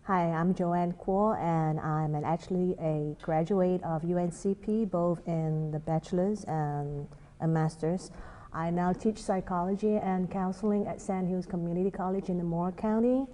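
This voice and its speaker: A woman's voice: on the low side, fairly even intonation, normal speed, mellow, slight background noise. A middle-aged woman, a college instructor, answering interview questions thoughtfully with some restarts.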